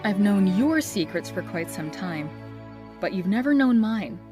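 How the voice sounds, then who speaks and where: A woman's voice: mid-range voice, animated, a little slow, over music, natural voice. A woman playing a sincere, apologetic young love interest in a comic dub, earnest and dramatic. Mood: earnest, confessional.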